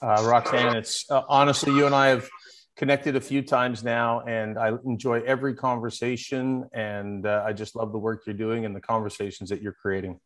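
A man's voice: mid-range voice, level tone, normal speed, a bit bright. A man being interviewed as a guest, speaking in an earnest, upbeat way over a remote call. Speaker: guest, founder of a connection project. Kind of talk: interview conversation.

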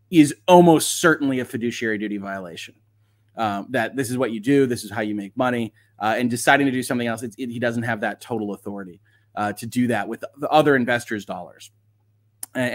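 A man hosting a livestream of legal commentary, talking casually and reading aloud with wry, sardonic asides. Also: mid-range voice.

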